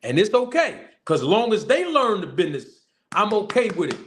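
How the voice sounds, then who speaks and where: A man's voice: high-pitched, very animated, moderate pace. A man who manages boxers and hosts his own online show, talking emphatically in a casual, slang-heavy style with repeated, preacher-like emphasis. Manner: forceful, repetitive, preacher-like emphasis. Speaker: boxing manager and channel host.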